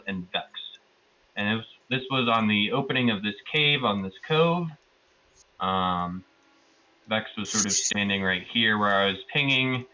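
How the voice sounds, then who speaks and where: A man with a fairly deep but sharp-edged voice retells a roleplaying session as a player. He rambles casually and sounds amused. The online voice-chat audio is a little muffled. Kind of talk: casual recap of a tabletop game.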